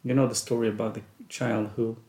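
Middle-aged dad chatting on a podcast, earnest, mellow voice, roomy echo. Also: male voice, medium pitch, level tone, fairly quick. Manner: earnest, reflective. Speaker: podcast co-host and father. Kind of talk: casual family chat. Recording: echoey room.

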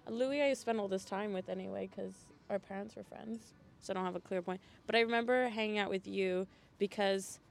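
A woman's voice: mid-range voice, fairly even intonation, brisk, gravelly, slight background noise. A young woman telling stories casually as a podcast guest, chatty and self-deprecating. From Europe, mainly Switzerland, Belgium and Scandinavia. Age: young adult. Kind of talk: casual conversational storytelling.

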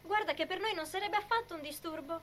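A squeaky, girlish anime sweetheart. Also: quick pace, a bit bright, slight background noise. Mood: sweet, polite. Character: bubbly, sweet, naive young anime woman. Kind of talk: amateur anime fan dub.

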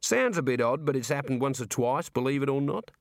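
A man's voice: medium pitch, lively intonation, a little fast, gravelly, no room sound, clean studio sound, voice pushed up. A man voicing a cheerful, chatty prison warder with an Australian accent and a breezy, matey manner, in a storybook reading. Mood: helpful, chatty. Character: cheerful chatty male prison warder, jovially morbid, folksy.

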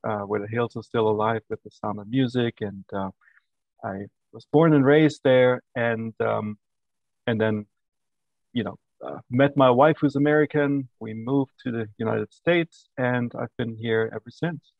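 Guest read: middle-aged Austrian-accented man, warm, measured, dry muffled recording. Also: medium pitch, creaky, no room sound. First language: German. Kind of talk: casual interview chat. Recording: dull lo-fi audio.

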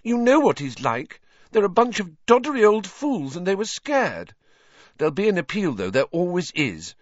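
An emotional, bookish teenage girl from a storybook, acted out by a man, pitched high and shrill. The delivery swings widely and carries a fierce, upset edge. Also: very animated, moderate pace, pushed and bright, dry recording, dull audio, exaggerated voice. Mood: tearful, bitter.

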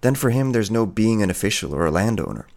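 A man voicing a proud, indifferent peasant hunter in a storybook, gruff, dismissive and boastful. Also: on the low side, very animated, fast talker, a little mellow, voice pushed up. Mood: proud, impatient.

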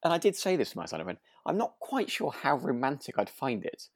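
British male podcast host, lively swooping intonation, dry close sound, chatty and a little halting. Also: medium pitch, creaky, no room sound. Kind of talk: relaxed casual chat.

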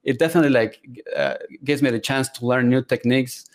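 A man's voice: mid-range voice, fast. A man from Ecuador who speaks natively, answering interview questions in an earnest, casual way. He laughs often and uses many fillers, talking over a video call. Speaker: guest 3D artist.

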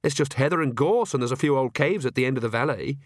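A man voicing a young woman, a sensible witch with a sharp, reproachful, matter-of-fact manner in a storybook. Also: medium pitch, lively intonation, fast, no room sound, clean studio sound, close to an everyday voice. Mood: protesting.

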